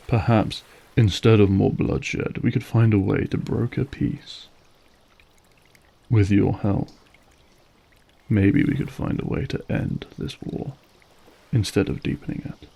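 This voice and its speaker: Man playing a slow, brooding old vampire. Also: fairly low pitch, creaky, mellow, heavily acted. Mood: pleading. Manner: slow, brooding, formal speech. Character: weary, bitter male vampire, centuries old, politely menacing. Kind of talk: dramatic audio roleplay.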